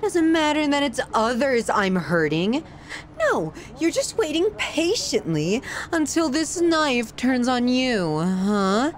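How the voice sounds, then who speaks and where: Sadistic yandere lover, played by a woman for horror ASMR: obsessive, menacingly affectionate, very lively intonation, unhurried. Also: high-pitched, exaggerated voice. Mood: bitter, accusing. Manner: theatrical, swings from sweet to scornful, sighing. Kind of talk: ASMR horror roleplay monologue.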